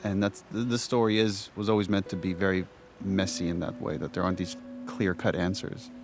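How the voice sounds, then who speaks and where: A man's voice: low-pitched, level tone, a little fast, noisy background. A man speaking casually and reflectively as a game developer giving commentary on cutscenes, with guitar music behind him.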